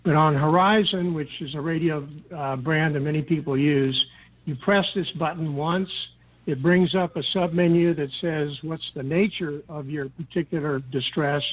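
Male, slightly high voice, methodical explainer, measured delivery, thin telephone-grade audio. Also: fairly even intonation. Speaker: guest explaining radio procedures. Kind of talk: instructional explanation. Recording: tinny narrow-band phone-like line.

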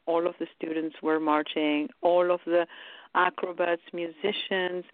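A woman's voice: on the low side, no room sound. A woman, a guest telling stories in a chatty, rambling way with many fillers. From Romania. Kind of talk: casual radio interview. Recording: dry, tinny phone line.

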